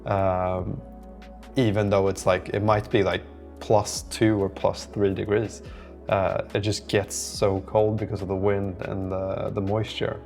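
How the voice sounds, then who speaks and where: Low-pitched, creaky-voiced man vlogging casually. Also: level tone, unhurried, darkish tone, soft background music. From lives in southern Sweden. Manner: rambling, informal, hesitant phrasing. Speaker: host of a startup-building video diary. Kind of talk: casual vlog chat. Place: indoor room beside a window.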